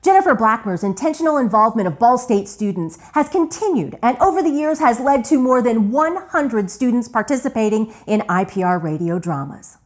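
Woman, slightly high voice, scripted documentary narration, radio narrator. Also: lively intonation, fairly quick, few pauses.

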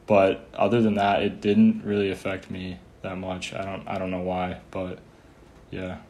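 Low, even-toned young man, halting. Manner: halting, understated. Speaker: interviewee in an audio documentary. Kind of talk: casual personal recollection.

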